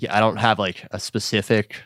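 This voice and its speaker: A man's voice: lowish voice, little pitch movement, moderate pace, fairly bright tone, no room sound, studio quality. A twenty-year-old man, a drummer, chatting easily as an interview guest, hedging his points and laughing lightly. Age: young adult. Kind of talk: casual interview chat.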